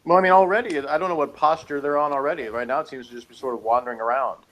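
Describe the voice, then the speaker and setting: A man's voice: fairly high pitch, fairly even intonation, rapid-fire, fairly bright tone. A man in a casual review chat, rambling and hedging as he argues his points as a co-host. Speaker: podcast co-host reviewing TV episodes.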